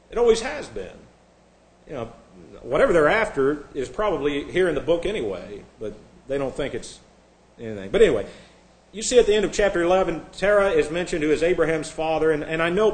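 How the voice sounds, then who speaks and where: A man from Indiana delivers a sermon in an echoey sanctuary, his voice sitting a little high for a man. He talks to the congregation like old friends, wandering into jokes and asides. When he reads scripture, he switches to a raised, formal voice. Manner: conversational preaching with asides and self-deprecating jokes. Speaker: pastor. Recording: echoey room.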